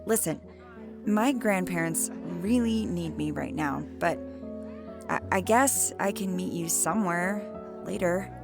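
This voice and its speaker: A woman's voice: fairly low pitch, a little slow, vocal fry. A woman playing a guarded, sarcastic young woman in a naturalistic audio drama, speaking dryly and a little hesitantly. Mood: exasperated. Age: young adult. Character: wry, guarded young woman grieving her uncle. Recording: music bed behind the voice.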